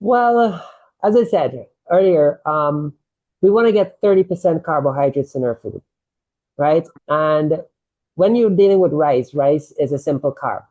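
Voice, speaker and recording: A man's voice: a little high, lively intonation, a little slow, a little mellow, muffled recording. A man from Guyana with a Guyanese accent, a doctor explaining diet in a casual health talk and often checking in with "right?". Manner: explanatory, tags statements with "right?". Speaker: sports medicine doctor, guest.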